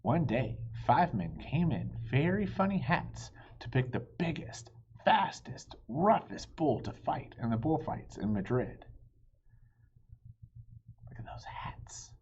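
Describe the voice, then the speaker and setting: A man reading a children's story aloud in an expressive, dramatic way, addressing young listeners, with a breathy voice that often drops toward a hush. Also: medium pitch, takes it slowly, in a whisper. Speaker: storybook reader. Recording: dull, muffled lo-fi audio.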